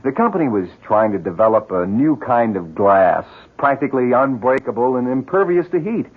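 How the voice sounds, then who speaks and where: Husky, lively, reassuring family friend. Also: male voice, medium pitch, normal speed. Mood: earnest, explaining. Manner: reassuring, conversational. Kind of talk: 1970s radio drama acting. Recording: muffled vintage radio broadcast.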